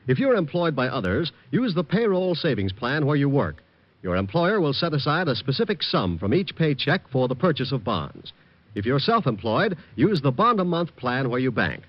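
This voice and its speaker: Animated American old-time radio announcer, male. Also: medium pitch, no room sound. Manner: formal, polished delivery. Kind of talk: mid-century scripted radio announcing. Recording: muffled old radio broadcast. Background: music.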